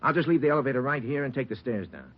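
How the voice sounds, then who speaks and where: Ordinary, helpful male elevator man, played by an actor, rough-edged voice, brisk plain delivery, muffled period radio drama. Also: mid-range voice, expressive, natural voice. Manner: plain, patient, instructive. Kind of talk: 1950s radio drama acting. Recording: muffled old radio recording.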